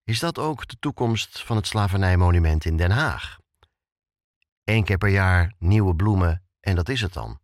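A man's voice: deep voice, lively intonation, moderate pace, no echo, clean studio sound. A man hosting a podcast, narrating from a script in a conversational way and addressing the listener directly. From Netherlands. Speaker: podcast host.

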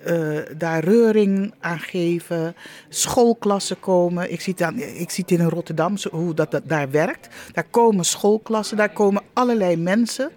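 Female interview guest, unscripted delivery, halting, with emphatic repetition. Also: lowish voice, a little mellow. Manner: halting, repeats words for emphasis. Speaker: interview guest, monument project leader. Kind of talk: spontaneous interview answer.

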